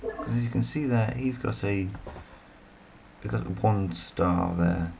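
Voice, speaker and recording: A man's voice: lowish voice, slow pace, creaky, darkish tone, a little hiss or hum, low-quality recording. A man giving rambling, casual commentary while playing a strategy game, full of self-deprecating asides. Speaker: let's play commentator.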